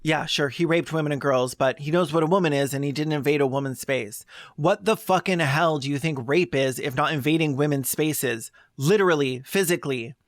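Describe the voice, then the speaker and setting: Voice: medium pitch, brisk, dry recording, studio quality. A video essayist delivering scathing, sarcastic commentary in a blunt way, moving between scripted narration and asides.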